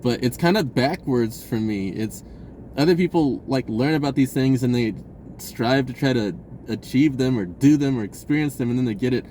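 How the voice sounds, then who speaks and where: A man telling a personal story in a casual, rambling vlog style, slangy and informal, often halting and restarting his sentences. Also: mid-range voice, mellow, a little hiss or hum, rough recording. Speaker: vlogger.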